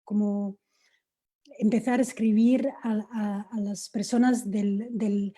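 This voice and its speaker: Very dark-voiced, slow woman, non-native Spanish speaker. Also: medium pitch, flat delivery. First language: not Spanish. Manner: searching for words, mixing in English. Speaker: panel participant. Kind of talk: online panel discussion.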